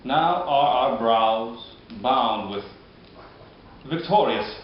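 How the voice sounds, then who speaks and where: A man performing a bitter, scheming deformed nobleman in a modern-style Shakespeare monologue, speaking deliberately with dramatic pauses. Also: medium pitch, lively intonation. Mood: mocking, brooding. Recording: dull, very echoey room, background hiss.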